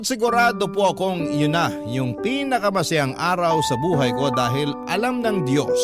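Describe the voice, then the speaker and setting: A man with a Filipino accent reading a scripted first-person letter as a radio story narrator. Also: on the high side. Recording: loud music behind narration.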